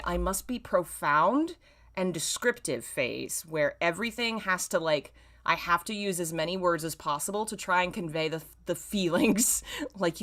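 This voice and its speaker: A woman's voice: on the low side, pushed and bright. A woman in her thirties reading a story aloud like a streamer, with self-mocking, wry asides and theatrical emphasis. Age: 30s. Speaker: streamer reading own old fan fiction.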